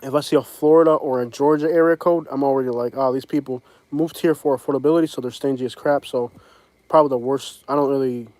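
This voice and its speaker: A man's voice: mid-range voice, steady tone, fast, a little mellow, no echo. A man with a Southern US accent chatting casually on a livestream, rambling and frank, with many fillers and restarts. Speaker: vlogger. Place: home in Alabama.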